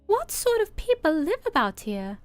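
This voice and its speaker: Woman as high-voiced, polite, curious little girl. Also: wildly swooping pitch, moderate pace, dry recording, soft background music, exaggerated voice. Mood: polite, puzzled. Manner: high, earnest, polite questioning. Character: polite, curious, slightly timid young girl.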